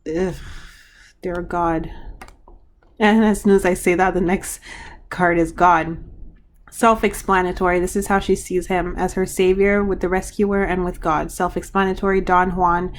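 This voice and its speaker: A hoarse woman giving a pick-a-card reading to her viewers, talking frankly with frequent restarts. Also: on the low side, little pitch movement, unhurried, slight background noise. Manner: frank, chatty, trailing restarts. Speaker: tarot card reader.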